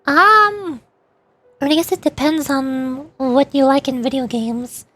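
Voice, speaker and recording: A woman's voice: high voice, measured pace, pushed and bright. A young woman streamer chatting casually about games, rambling with many fillers. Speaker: vtuber streamer. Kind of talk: casual game commentary. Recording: faint music behind.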